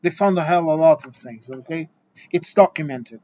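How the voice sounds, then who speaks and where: Portuguese man, fairly high voice, lecturing and exasperated, dull lo-fi recording. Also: normal speed, a little mellow. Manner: exasperated, lecturing, many restarts and repeated words. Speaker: video essayist. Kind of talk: impassioned opinion monologue.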